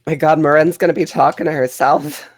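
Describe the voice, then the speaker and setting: A woman's voice: low-pitched, steady tone, brisk. A woman talking casually as a panelist in a group podcast chat.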